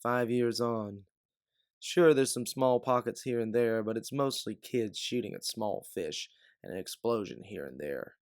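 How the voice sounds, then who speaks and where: A man playing an older, protective bounty hunter mentor in an audio drama, speaking in an earnest, measured way. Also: lowish voice, smooth, no echo, studio quality. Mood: weary, frank. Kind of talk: dramatic audio fiction acting.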